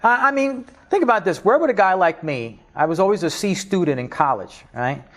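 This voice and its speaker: A middle-aged New York man with a fairly high voice tells his story in an interview. He is animated and laughs a lot, and his pitch swings up and down as he talks. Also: a little fast. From New York, Spanish Harlem. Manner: animated storyteller, laughs often, frequent restarts. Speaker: interview guest, business leader. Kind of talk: casual interview talk.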